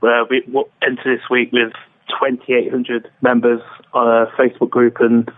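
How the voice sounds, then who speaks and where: British guy being interviewed, pretty flat intonation, muffled connection. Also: medium pitch, normal speed. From United Kingdom. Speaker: interview guest, fan club representative. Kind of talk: informal radio interview. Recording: dull band-limited remote line.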